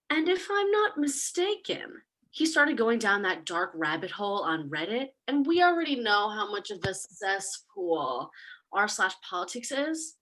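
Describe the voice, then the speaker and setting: An actress playing a smug, snarky demon in a comic stage monologue, sarcastic and conversational, boasting about corrupting mortals. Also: female voice, on the high side, bright, forceful tone, rough recording. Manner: sarcastic, conversational, casual swearing.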